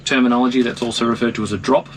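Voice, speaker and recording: A middle-aged man explains technical equipment in an informal, measured way. His pitch sits in the middle range, and there is clear ambient noise from a small boat cabin around him. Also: flat delivery. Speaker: marine electronics technician demonstrating equipment. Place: small wooden boat wheelhouse on the water.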